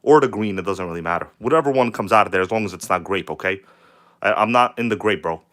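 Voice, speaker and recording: A man hosting a casual live stream, his voice hoarse from a cold, hyped and greeting viewers by name. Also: on the low side, fast, a bit bright. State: hoarse from a cold, nearly lost voice. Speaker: channel host. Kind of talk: live stream chat about RC cars.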